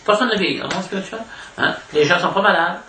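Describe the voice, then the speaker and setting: A man with a Québécois French accent giving an informal spiritual lecture as a devotional preacher, haltingly, repeating words and adding little question tags. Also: high-pitched, bright, forceful tone. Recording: echoey room, distant mic, faint noise.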